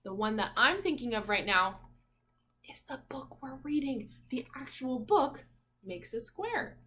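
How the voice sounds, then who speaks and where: Slow, higher-voiced woman teacher reading aloud. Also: expressive, bright, forceful tone, slight background noise. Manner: sing-song, prompting listeners with questions. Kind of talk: picture-book read-aloud for young children.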